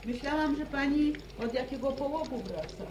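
A woman's voice: high-pitched, animated, normal speed, reverberant, background noise. An actress playing a practical, caring village mother in an old radio drama, fussing over a guest in a bustling, homely way. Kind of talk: 1970s radio drama acting.

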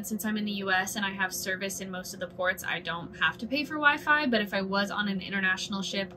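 A woman in her twenties, a cruise ship singer and dancer, talks casually to the camera from a small cabin bedroom, chatty and full of quick asides. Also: mid-range voice, sharp tone. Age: 20s. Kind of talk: casual vlog explainer. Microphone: camera in front at desk distance. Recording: noisy room with ambient hum.

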